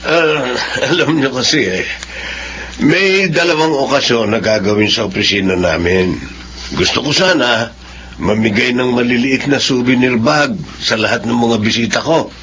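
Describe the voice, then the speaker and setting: A man's voice: mid-range voice, moderate pace, highs cut off, noisy background, close to an everyday voice. A man playing a jovial, generous office boss ordering sewing work in a radio-style drama. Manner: jovial, laughing. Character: generous boss customer, middle-aged.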